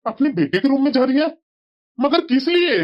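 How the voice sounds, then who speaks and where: A dull, lo-fi recording of a grumbling elderly cartoon husband as a woman acts him. The voice is very high for the part, scolding and reasoning in a sensible, fed-up way. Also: very animated, moderate pace. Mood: disapproving. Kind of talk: Hindi family cartoon voice acting.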